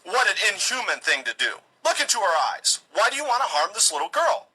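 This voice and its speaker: A man's voice: high-pitched, very animated, a little fast, sharp tone, slightly rough, exaggerated voice, low-quality recording. A man voicing a smug, preachy settler leader in a satirical cartoon, condescending and full of self-righteous pleading. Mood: accusing, indignant.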